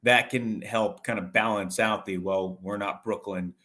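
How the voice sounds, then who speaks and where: A man with a fairly low voice speaks as a sports radio broadcaster in an interview. He explains things in detail and conversationally, often building a point in short, broken phrases. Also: fairly even intonation, unhurried, pushed and bright.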